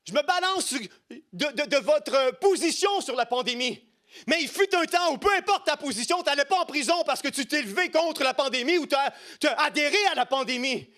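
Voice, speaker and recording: A man's voice: very high-pitched, a bit bright. A middle-aged man with a Quebec French accent preaching an impassioned sermon, emphatic and rhetorical, repeating key phrases. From Quebec, Canada.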